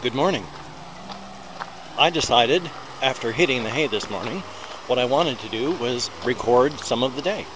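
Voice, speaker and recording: A man's voice: medium pitch, expressive, moderate pace. A middle-aged American man chatting as he walks through a small town beside a busy road, holding a small recorder close to his mouth, rambling and poking fun at himself. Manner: chatty, rambling, self-deprecating narration while walking. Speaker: vlogger. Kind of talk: casual walking vlog. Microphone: small handheld recorder held close to the mouth. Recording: outdoor traffic noise. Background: loud passing traffic.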